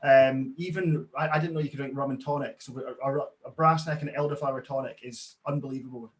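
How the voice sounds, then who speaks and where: A man, a brand co-founder, chatting in a relaxed, friendly way with easy laughs. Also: medium pitch, quick pace. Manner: friendly, laughs easily, many fillers. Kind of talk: casual interview chat.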